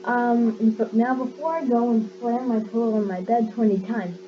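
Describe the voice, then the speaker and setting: A young woman hosting her own gaming channel, giving casual, deadpan commentary in a voice she calls monotone. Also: fairly high pitch, moderate pace, noisy background. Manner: deadpan, self-described monotone. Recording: dull lo-fi sound with loud music.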